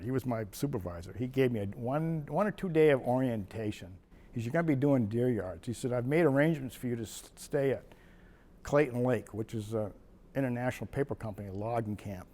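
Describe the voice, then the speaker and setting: Older man, casual reminiscing, rambling, chuckles often, middle pitch. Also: a little mellow. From Maine, USA. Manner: rambling, chuckling storyteller with frequent restarts. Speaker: retired wildlife biologist. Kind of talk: reminiscing personal story.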